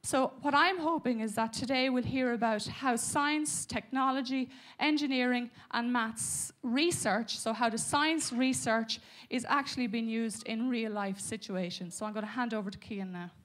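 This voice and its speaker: Higher-voiced woman introducing a speaker. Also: moderate pace, hardly any pauses, a bit bright. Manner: hesitant, with fillers and self-corrections. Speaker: event presenter.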